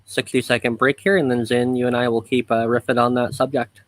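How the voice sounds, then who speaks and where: A man hosting a relaxed conversational show, speaking in a halting, thoughtful way. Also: medium pitch. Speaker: host. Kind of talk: casual chat.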